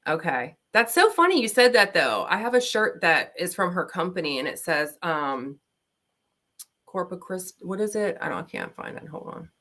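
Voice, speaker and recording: A woman's voice: lowish voice, big pitch swings, moderate pace, a bit bright. A woman in her thirties from Baltimore, chatting casually and rambling as she does her makeup on a livestream at her laptop. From Maryland, USA. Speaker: beauty vlogger. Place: home, at her desk with laptop. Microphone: laptop built-in mic.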